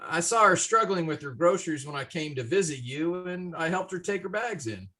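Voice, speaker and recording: A woman voicing a sheepish grown son who explains himself in a halting, flustered way. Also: lowish voice, expressive, a bit bright. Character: sheepish adult son caught out.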